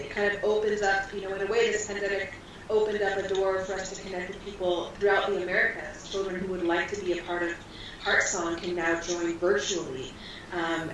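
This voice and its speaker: A woman guest describing her school in flowing, earnest interview answers with frequent ums, heard from far off in a very echoey, noisy room. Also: fairly low pitch.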